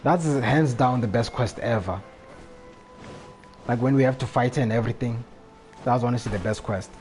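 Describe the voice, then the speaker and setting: A man's voice: mid-range voice, normal speed, a little mellow, faint music behind. A man talking casually as a live game streamer, rambling and often repeating his phrases. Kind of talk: casual gaming livestream chat.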